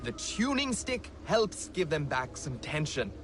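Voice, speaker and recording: An actor playing a wry, eccentric old alien hermit in a video game, speaking in poetic, whimsical phrases. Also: male voice, fairly high pitch, very animated, measured pace, a bit bright, slight background noise. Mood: solemn, reminiscing. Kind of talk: video game cutscene acting.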